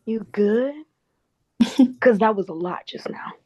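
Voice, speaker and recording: A woman's voice: fairly high pitch, takes it slowly, a little mellow, no echo. A woman acting a sassy, protective office colleague in a drama table read, frank and colloquial, on a slightly muffled recording. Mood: concerned, frank.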